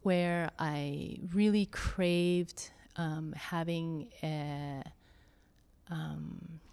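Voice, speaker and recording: Woman w a lowish voice, slow and thoughtful, lots of little pauses n ums. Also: steady tone, vocal fry, clear, resonant tone. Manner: slow, thoughtful, pausing often with soft fillers. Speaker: church member interviewee. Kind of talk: reflective conversation.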